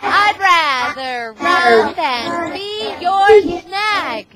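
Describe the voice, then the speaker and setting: Woman voicing a sassy little gingerbread man, high chant. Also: animated, a crowd in the background, full character voice. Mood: sassy, taunting. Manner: sing-song, drawn-out chanting. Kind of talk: storybook read-aloud for children. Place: school classroom. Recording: noisy amateur classroom recording.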